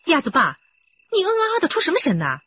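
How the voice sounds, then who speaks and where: A woman's voice: sits high, wildly swooping pitch, fast, sharp tone, exaggerated voice. A pleading village woman. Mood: businesslike. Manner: pleading, emotional. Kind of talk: radio drama acting. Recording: dull lo-fi radio play audio.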